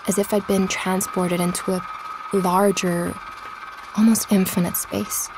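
An actress playing a curious woman investigator who hosts a podcast in an audio drama, speaking in a measured, explanatory way. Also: medium pitch, background noise, close to an everyday voice. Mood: reflective. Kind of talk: scripted documentary-style podcast narration.

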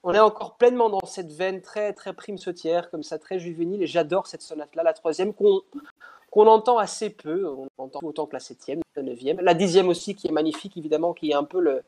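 A man's voice: high voice, a little fast, darkish tone. Hesitant young man on a video call. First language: not French. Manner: thoughtful, hesitant, frequent restarts. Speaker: pianist interviewed. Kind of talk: casual interview over video call. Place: indoor room at home. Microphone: headset on video call.

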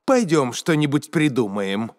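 Lively, patient cartoon helper. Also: male voice, medium pitch, measured pace, slight background noise. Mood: coaxing. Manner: instructive, coaxing. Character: patient, helpful male companion, instructive and calming. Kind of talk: preschool cartoon voice acting.

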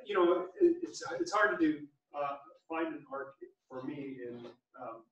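A man, a stage actor, reflecting informally on a production, halting and then emphatic. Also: a little high, huge pitch swings, fairly bright tone, lo-fi sound. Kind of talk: informal post-performance reflection. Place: small theatre stage, distant camera. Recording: very echoey theatre, far from mic.

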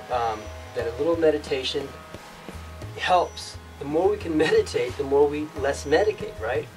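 A young man giving a meandering spiritual yoga talk in an outdoor rock cave, halting often and restarting his sentences. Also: mid-range voice. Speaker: yoga teacher. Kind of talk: rambling spiritual teaching monologue. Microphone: far camera. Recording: distant mic with music behind. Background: instrumental music.

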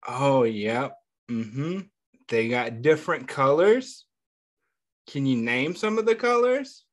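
A man reading a picture book aloud to young children, pausing often to ask them questions and praise their answers, and calling himself by name in the third person. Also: medium pitch, lively intonation. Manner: gentle, patient, asks questions and pauses for answers. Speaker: children's story reader.

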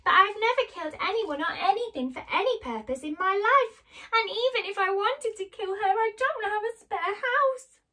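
A woman's voice: high-pitched, lively intonation, measured pace, few pauses, a bit bright, distant mic, pitched above the performer's own voice. A woman voicing an earnest, indignant young storybook girl with an emphatic, pleading manner. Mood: tearful, pleading.

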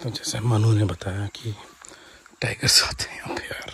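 Fast-talking curious vlogger in the forest. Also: male voice, fairly low pitch, steady tone, fairly bright tone, whispered. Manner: curious, quick questions, switches between Hindi and English. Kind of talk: casual travel vlog chat. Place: forest outdoors. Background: faint insects and forest ambience.

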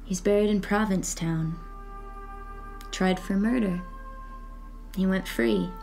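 An actress playing an eerie, secretive woman who believes in spirits, speaking in a measured, solemn, cryptic way in an audio drama. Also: medium pitch, fairly even intonation, darkish tone, faint music behind. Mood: quietly certain.

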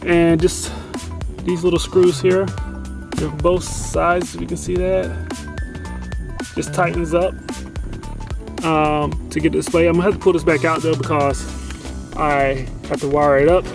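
Casual DIY guy, fairly high voice, filler-heavy self-corrections, phone-recorded in a truck, music in the background. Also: flat delivery, a little slow, creaky, long pauses. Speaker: DIY vlogger installing a CB radio. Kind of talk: casual how-to narration while working. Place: inside a pickup truck cab and garage.

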